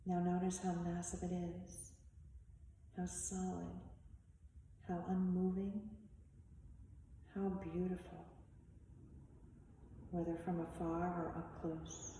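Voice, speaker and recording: A woman leading a guided meditation as a teacher, speaking in measured, instructive short phrases with long pauses. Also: fairly low pitch, level tone, mellow. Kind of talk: slow guided meditation narration. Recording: echoey reverberant room, distant mic, faint noise.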